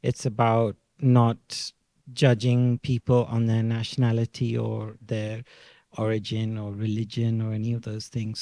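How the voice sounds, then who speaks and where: A man's voice: lowish voice, creaky, warm tone, no echo. A man speaking hesitantly on a panel, with frequent fillers. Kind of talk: casual panel talk.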